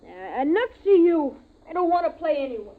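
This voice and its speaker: A woman's voice: high voice, big pitch swings, normal speed. An actress playing a pushy, scornful twelve-year-old boy in a 1950s radio drama. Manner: pestering, childlike. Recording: tinny old radio recording.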